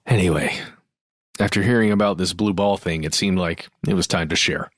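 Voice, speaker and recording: A man's voice: deep voice, normal speed, no room sound, clean studio sound, voice pushed down. A man giving a dramatic reading as a baffled skeptic telling their story, with exaggerated emphasis. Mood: confiding. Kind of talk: dramatic reading of a listener letter.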